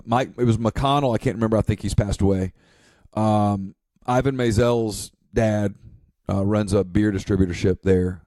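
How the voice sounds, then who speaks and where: A man's voice: on the low side, warm tone, no echo. A man speaking casually as a podcast co-host. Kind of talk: casual storytelling.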